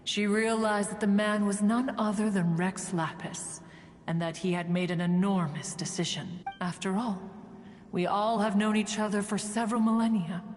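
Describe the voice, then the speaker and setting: A woman's voice: mid-range voice, fairly even intonation, moderate pace, long reverb tail, lightly acted. A woman playing a proud, ancient, aloof immortal who tells stories in formal, archaic, stately speech in a video game. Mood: knowing, measured. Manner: formal, stately, archaic storytelling, refers to self as "one". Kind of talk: scripted video game dialogue.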